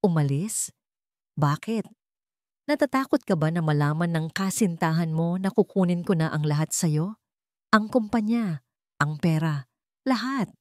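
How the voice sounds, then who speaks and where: A woman's voice: fairly low pitch, animated, a little slow, pauses often, a little mellow, no echo, studio quality. A woman reading a sneering ruthless male villain. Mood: taunting. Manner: taunting, threatening. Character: scheming ruthless male rival, sneering and cold.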